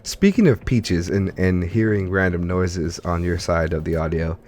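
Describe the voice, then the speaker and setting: Low-voiced man hosting a gaming podcast. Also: moderate pace, darkish tone. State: congested from allergies. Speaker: podcast host. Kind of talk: casual gaming podcast chat.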